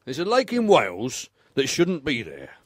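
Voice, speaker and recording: A man's voice: mid-range voice, wildly swooping pitch, normal speed, no echo, studio quality. A man playing a put-upon, obliging odd-job man in a radio play, talking in a wry, incredulous, conversational way. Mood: hesitant, obliging.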